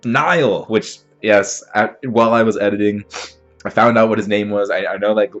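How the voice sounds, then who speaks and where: A young man with a slightly stuffy, sniffly voice from a cold, hosting an anime reaction with rambling, excitable commentary full of fillers and restarts. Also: lowish voice, moderate pace, lo-fi sound, soft background music.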